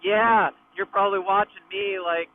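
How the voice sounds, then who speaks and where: A man's voice: high voice, fairly even intonation, moderate pace. A man chatting casually during a ride, heard over a motorcycle helmet intercom. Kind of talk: casual chat while riding. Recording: muffled intercom radio, faint noise.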